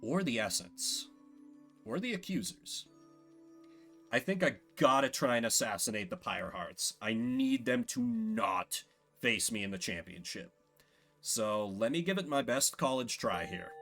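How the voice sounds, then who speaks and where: A man's voice: mid-range voice, big pitch swings, a little slow, gravelly, a bit bright, soft background music. A man giving casual game commentary as a streamer, thinking aloud in an informal way. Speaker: streamer playing and voicing a game.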